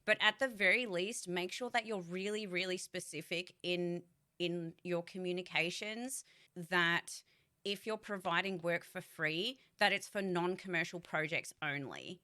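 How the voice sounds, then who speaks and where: A woman's voice: on the low side, a little slow, pushed and bright. A young woman, a voice actor and streamer, chatting casually and bluntly to her viewers, swearing freely and checking in with chat.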